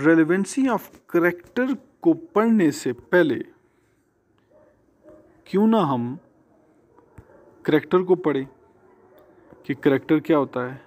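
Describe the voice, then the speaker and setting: Male Pakistani lecturer, somewhat higher-pitched, very unhurried delivery, explanatory and deliberate, addressing students. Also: darkish tone. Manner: deliberate, explanatory, repeats and rephrases points, reads English phrases out slowly. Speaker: law lecturer. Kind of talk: exam-preparation lecture to students, mixing Urdu and English.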